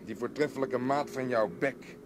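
A man's voice: medium pitch, moderate pace, natural voice. An actor as dry, clipped policeman. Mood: dry, grumbling. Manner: clipped, matter-of-fact. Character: dry, grumbling policeman on the beat. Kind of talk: radio drama acting. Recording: old radio play, faint noise.

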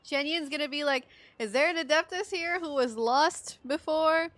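A woman from the Philippines giving chatty, expressive reactions as she livestreams a game. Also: sits high, normal speed, no echo. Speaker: game streamer. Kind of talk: casual livestream reaction commentary. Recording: game music behind the voice.

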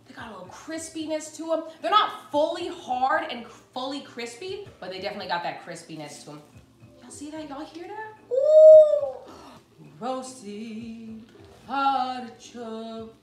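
A woman's voice: high voice, very animated, normal speed, darkish tone, echoey room. A young woman hosting a live cooking show from her home kitchen, chatty and bubbly, calling out to viewers as she works. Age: young adult. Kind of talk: live cooking stream, casual chat. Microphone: fixed camera at counter.

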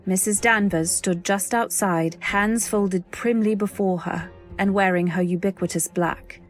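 A woman's voice: medium pitch, fairly even intonation, faint music behind, close to an everyday voice. Woman acting a genteel young wife in radio drama. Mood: reflective. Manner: genteel, earnest, measured. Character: polite, timid young new wife narrating her story. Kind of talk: old-time radio drama, first-person narration and dialogue.

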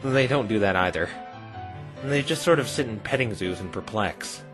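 A man's voice: fairly low pitch, expressive, normal speed, music in the background, close to an everyday voice. A man playing a dry, sarcastic, easily exasperated young defense lawyer in a fan-dubbed visual novel. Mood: dry, questioning. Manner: dry, sardonic. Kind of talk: fan-dub visual novel character acting.

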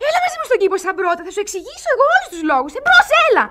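A woman's voice: extremely high pitch, big pitch swings, background noise. An actress playing a playful young woman in love, teasing and cajoling in a radio theatre comedy. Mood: playful coaxing.

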